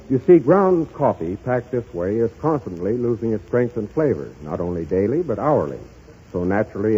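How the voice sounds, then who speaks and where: An actor playing an earnest, formal man of business in 1930s radio style, measured and explanatory. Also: fairly low pitch, slightly rough. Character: earnest, formal male grocer and broadcasting official. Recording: muffled 1930s radio recording.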